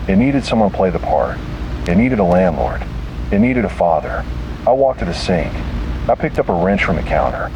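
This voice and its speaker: A man narrating scripted first-person horror in a dramatic, deliberate and grim manner. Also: deep voice. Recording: noisy, hissy analog tape sound.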